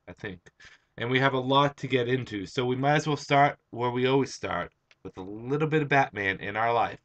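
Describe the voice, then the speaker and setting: A young man with a hoarse, tired voice, just out of bed, rambling through a casual comic book review. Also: mid-range voice, fairly bright tone. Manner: rambling, chatty, with frequent "uh" and restarts.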